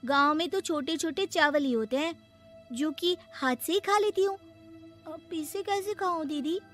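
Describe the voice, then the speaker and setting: Naive little village girl, squeaky high n slow, whiny cartoon drama, music behind. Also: a bit bright. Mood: curious, puzzled. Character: excitable naive village girl visiting the city. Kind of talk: animated moral story acting.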